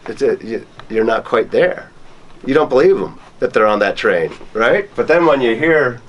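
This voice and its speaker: A man's voice: mid-range voice, animated, moderate pace, a bit bright, a little hiss or hum. A man casually explaining things conversationally as a radio station tour guide. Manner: conversational, illustrative. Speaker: radio station staffer guiding a student tour.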